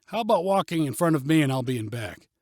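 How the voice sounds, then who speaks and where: Man reading as a caring, cautious storybook dad, lively. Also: on the high side, fast talker, no echo, studio quality. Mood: concerned. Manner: gentle, concerned calling. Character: caring, cautious father on a family hike.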